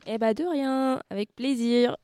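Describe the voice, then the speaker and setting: A woman's voice: a little high, measured pace, mellow, smooth, no echo. A woman in her late twenties, an activity leader at a youth center, answering interview questions playfully with many hesitations. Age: 20s. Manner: hesitant, playful, lots of hmms. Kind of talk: casual radio interview. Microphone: close studio mic.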